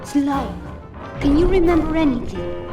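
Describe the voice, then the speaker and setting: A bold cartoon-game police inspector, high-pitched and firm. She delivers her words slowly and dramatically, in an echoey space with music behind. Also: distant mic. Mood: urgent, protective. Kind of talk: video game voice acting.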